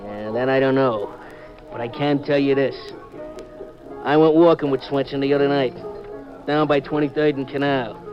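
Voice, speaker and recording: A man's voice: mid-range voice, fairly even intonation, moderate pace, long pauses, over music, lightly acted. An actor playing a cagey, mercenary barroom waiter with slangy wise-guy talk in a 1950s radio drama on a dull old recording. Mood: confiding, gruff.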